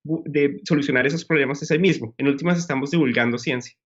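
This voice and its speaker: A man, a scientist guest, explaining science in a measured way during a live news chat. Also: on the high side. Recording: muffled broadcast audio.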